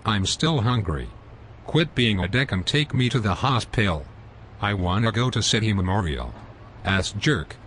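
A man voicing a rude, scruffy homeless man in an amateur animated comedy, with slow, sulky, insulting speech. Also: lowish voice, slight background noise. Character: rude scruffy homeless man who lies about everything.

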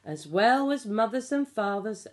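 Pre-school storyteller, female, middle-aged: higher-pitched, very varied intonation, expressive picture-book reading for small children. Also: a little slow. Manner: expressive, explanatory, addressing small children with simple questions. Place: small living room at home. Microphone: phone or tablet at about arm's length.

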